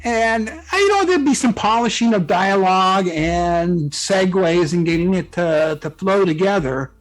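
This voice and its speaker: A man speaking as a guest author in a casual interview, explaining things informally and reflectively, with some halting phrasing. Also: high-pitched, expressive, rough recording. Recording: music playing behind the voice. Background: background music.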